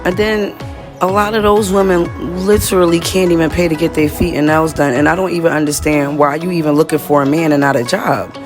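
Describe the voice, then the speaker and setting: Raspy, chatty woman from the US. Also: low voice, fairly even intonation, brisk, over music. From United States. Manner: chatty, direct, addresses viewers as y'all. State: slightly hoarse. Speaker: vlogger. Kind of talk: casual diary-style monologue.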